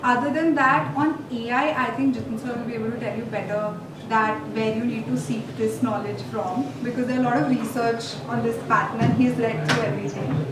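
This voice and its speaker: A woman with an Indian accent giving a legal presentation at a conference, explaining in a measured way with frequent fillers. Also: on the high side, fairly even intonation, distant mic. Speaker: legal expert speaker. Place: large conference hall. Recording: echoey hall, speaker off-mic, faint noise.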